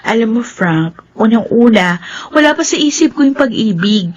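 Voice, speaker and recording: A woman's voice: on the high side, animated, normal speed, a little mellow, dull audio. A Filipino woman playing a principled, dutiful young elder daughter in a radio drama. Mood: firm.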